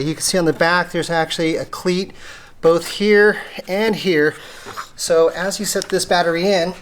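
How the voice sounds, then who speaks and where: A man with a voice a little higher than most hosts a hands-on repair tutorial. He explains step by step in a plain-spoken, casual way. Some noise sits behind his voice. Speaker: how-to video host. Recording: noticeable background noise.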